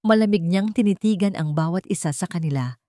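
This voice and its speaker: Lower-pitched woman narrating a dramatic story. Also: fairly quick, mellow, dry recording, clean studio sound.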